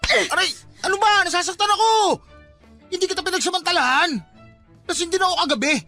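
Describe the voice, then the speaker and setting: A man's voice: extremely high pitch, brisk, bright, forceful tone, soft background music, heavily acted. An actor playing a teasing young man, a devoted mama's boy, in Filipino radio drama style. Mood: startled, defensive.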